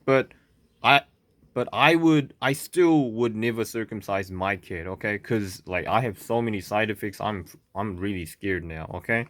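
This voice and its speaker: A man from South Korea speaking English with a Korean accent, talking to camera in a halting, stuttering way with many fillers and "okay?" checks. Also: lowish voice, fairly bright tone, no room sound. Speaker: vlogger. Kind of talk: unscripted monologue to camera.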